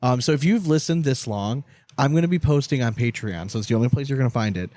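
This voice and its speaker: A man's voice: mid-range voice, lively intonation, fast, a little mellow, no echo. A man hosting a sports podcast, chatting casually in an informal, slangy, conversational way. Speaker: podcast host.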